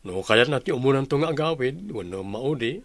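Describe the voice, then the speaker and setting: A man's voice: mid-range voice, expressive, a little fast, dry recording, lightly acted. A man with an Ilocano Filipino accent playing a practical husband and father in a radio drama. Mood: matter-of-fact. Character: practical husband and father discussing family travel.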